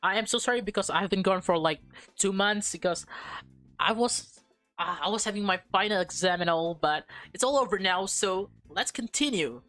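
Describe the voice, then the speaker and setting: A woman's voice: mid-range voice, normal speed, fairly bright tone. A woman hosting a gaming video, giving casual, playful commentary and reading dialogue aloud with small stumbles. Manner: informal, playful reacting, stumbles while reading. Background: background music.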